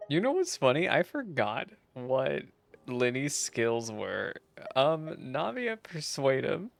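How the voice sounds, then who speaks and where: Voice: mid-range voice, sing-song, takes it slowly, vocal fry. A game streamer giving casual, giggly, amused reaction commentary while playing. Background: faint game music.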